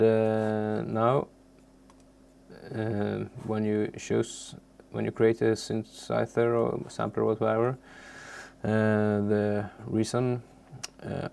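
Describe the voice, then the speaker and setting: A man with a low, slow-paced voice walks through software as a non-native English speaker. He speaks haltingly and searches for his words in an unscripted tutorial, with faint noise behind him. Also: vocal fry. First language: not English. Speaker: tutorial presenter.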